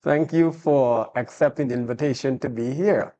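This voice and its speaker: A talk-show host, speaking at a medium pitch. The host sounds earnest, opening with a heartfelt prayer and warmly affirming the guest between questions. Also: normal speed, lo-fi sound, slight background noise.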